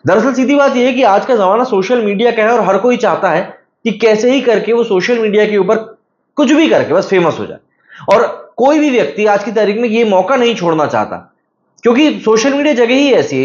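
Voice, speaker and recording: A man's voice: high-pitched, sing-song, a little fast. Emphatic man explaining news, roomy sound. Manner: emphatic, storytelling with rhetorical asides. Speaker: news commentary host. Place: room with brick-pattern wall. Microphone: clip-on lapel mic. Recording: roomy, slightly dull sound.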